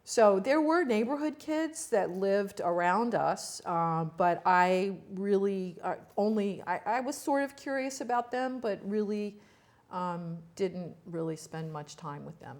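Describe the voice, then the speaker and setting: A woman's voice: mid-range voice, expressive, a little mellow. An older woman, born in the US to Ukrainian-speaking parents, telling stories about her childhood as an interview guest, with frequent fillers, restarts and small laughs. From United States. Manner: halting, many fillers and restarts, laughs at herself. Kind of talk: reflective personal storytelling.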